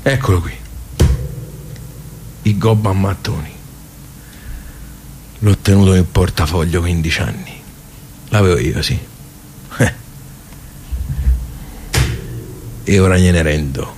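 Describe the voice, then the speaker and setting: A grumbling Tuscan man in his late seventies, low in pitch and worn by life. He rambles in earthy, colloquial speech, resigned and nostalgic, in the manner of a radio theatre soliloquy. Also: a little slow, pauses often, darkish tone, slight background noise. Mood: melancholy, resolute. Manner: rambling, colloquial, swearing, world-weary. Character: bitter, resigned 78-year-old working-class Tuscan man, nostalgic and grumbling.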